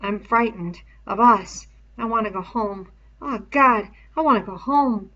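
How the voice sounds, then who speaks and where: A woman's voice: on the high side, unhurried, bright, forceful tone, lo-fi sound. A woman voicing a frightened, guilt-ridden schoolboy leader in a storybook, speaking in a troubled, emphatic way. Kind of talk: storybook read-aloud character voice.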